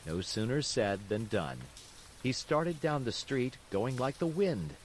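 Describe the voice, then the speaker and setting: A man reading a bedtime story as an expressive storyteller, in scripted narration. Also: mid-range voice, unhurried, a little hiss or hum. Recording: steady rain sounds behind the voice. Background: rain.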